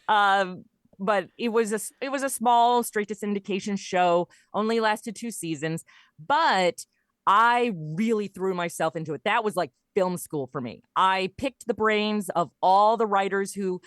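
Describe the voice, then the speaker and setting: Woman in middle age, informal jokey podcast guest, medium pitch, really dry sound. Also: measured pace, no room sound. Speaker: podcast guest, comedy writer and audio performer. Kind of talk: casual interview chat.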